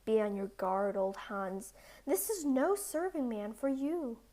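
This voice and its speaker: Woman voicing a slow, growling, clownish giant. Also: fairly high pitch, animated, mellow. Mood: growling, alarmed. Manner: growling, blustering. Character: huge clownish, credulous, easily frightened male giant.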